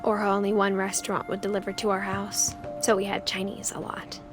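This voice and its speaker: A young woman voicing a reflective seventeen-year-old girl, narrating a story game in a soft, measured, wistful way. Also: mid-range voice, completely flat delivery, natural voice. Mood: matter-of-fact, wistful. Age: young adult. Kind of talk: scripted first-person game narration. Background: prominent music.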